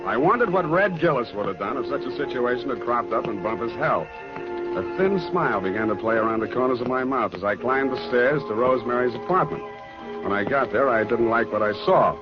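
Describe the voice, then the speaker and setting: An actor in a 1940s radio drama playing a hard-boiled private detective, dry, sardonic and clipped. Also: male voice, medium pitch, animated, brisk, close to an everyday voice. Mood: wry narrating. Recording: dull old radio recording, music behind.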